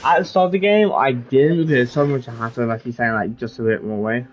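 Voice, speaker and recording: A man's voice: mid-range voice, a little fast, muffled recording. Rambling man chatting over gameplay, faint noise. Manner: rambling, filler-heavy speech with frequent "like". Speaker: game streamer. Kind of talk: casual gameplay chat.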